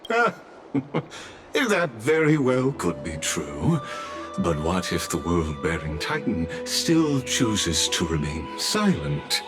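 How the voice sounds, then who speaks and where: An actor voicing a courtly, scheming male noble host in a game cutscene, unctuous and flattering, chuckling often. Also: deep voice, slow, heavily acted. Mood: probing. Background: game score music.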